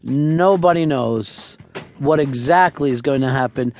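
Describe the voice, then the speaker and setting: A man hosting a radio talk show, taking callers and telling absurd tall tales with a deadpan, profane delivery. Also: medium pitch, measured pace, slight background noise.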